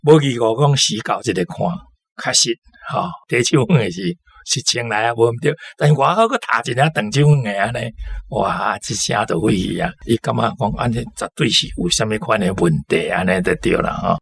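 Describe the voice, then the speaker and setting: A man's voice: fairly low pitch, lively intonation, fairly quick, vocal fry, fairly bright tone, slightly rough. A man giving casual, chatty commentary on stories for a radio programme.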